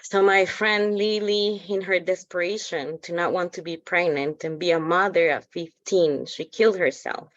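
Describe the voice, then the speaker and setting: A woman from El Salvador, an activist, giving a halting, earnest personal testimony over a slightly muffled video call. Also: fairly low pitch, steady tone, no room sound. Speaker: activist organizer.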